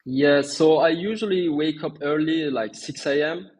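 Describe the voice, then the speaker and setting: A man's voice: on the high side, a little slow, a little mellow, husky, low-quality recording. A man, answering interview questions in a conversational way. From Turkey and France. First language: Turkish and French. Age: 20s. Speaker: interview guest, small agency owner.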